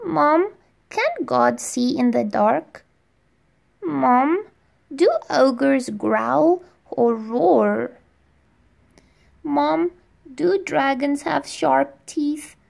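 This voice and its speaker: A woman voicing a small, earnest boy who plays at being a knight, asking anxious, pleading questions in a raised, high voice. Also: lively intonation, very slow, creaky, long pauses, a little mellow, full character voice.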